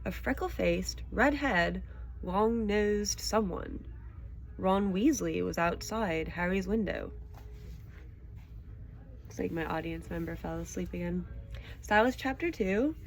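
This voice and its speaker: A woman's voice: medium pitch, slow, pauses often, slight background noise. A woman reading stories aloud expressively and chatting casually about them. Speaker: audiobook reader and show host. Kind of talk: expressive storybook narration, then casual chat with a child listener.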